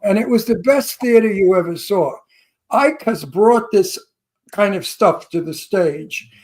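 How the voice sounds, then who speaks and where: A man's voice: high-pitched, a little slow, darkish tone. A man in his eighties co-hosting a celebrity interview show, talking in an effusive, emphatic way with lavish compliments and restarted phrases. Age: 80s. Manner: repeats words when starting sentences. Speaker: co-host.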